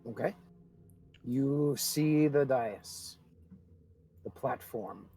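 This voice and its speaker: A man's voice: mid-range voice, extremely slow, dark tone, husky. A man talking casually and swearing freely as game master in a livestreamed tabletop game. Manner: informal, sweary.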